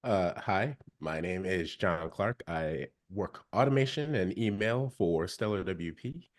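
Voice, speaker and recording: Deep-voiced polite man co-host. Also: lively intonation. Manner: measured, polite, slightly hesitant. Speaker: podcast co-host. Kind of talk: podcast interview.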